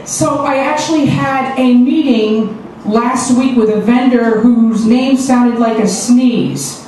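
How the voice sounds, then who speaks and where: A stand-up comedienne delivers crude punchlines in a brash, punchy way, her voice sitting a touch high. She is heard from a distance on a stage mic. The small club sounds very echoey, with a clear hum of room noise behind her. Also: slow pace, dark tone.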